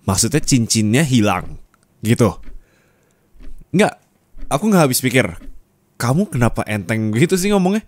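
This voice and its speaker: A man acts out a young husband in an intimate audio roleplay, speaking straight to his wife. His medium-pitched voice swings widely and expressively in casual, colloquial phrasing, captured close on the microphone. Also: very animated, normal speed, pauses often. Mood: casual, suspicious. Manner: intimate, colloquial, turning from soft to scolding. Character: young husband, affectionate then angrily scolding his wife. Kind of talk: Indonesian ASMR roleplay acting, addressed to the listener. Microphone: close studio microphone.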